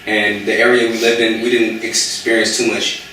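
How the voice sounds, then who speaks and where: A young man with a fairly low voice talks haltingly, restarting often and leaning on fillers. He sits well back from the mic. The room gives his voice a strong, hollow echo. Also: level tone, sharp tone, a little hiss or hum. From United States. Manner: hesitant, many fillers and restarts. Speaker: interviewee. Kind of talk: casual interview conversation. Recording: echoey room, voice from far off.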